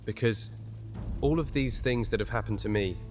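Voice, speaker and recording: An actor playing a scarred, quietly resolute witch in a fantasy audio drama, earnest and restrained. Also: on the low side, normal speed, close to an everyday voice. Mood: quiet resolve. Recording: music and rain behind voice.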